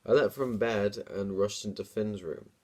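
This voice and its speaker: Man, lowish pitch, amused, informal, dramatic story reading, casual comic commentary. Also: moderate pace, darkish tone. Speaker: host reading his own childhood story.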